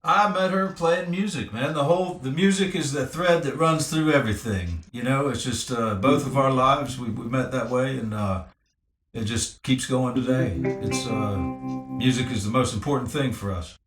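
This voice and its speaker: Man, musician guest, casual chat, mid-pitched voice, echoey room, faint crowd behind. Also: moderate pace, fairly bright tone, faint music behind.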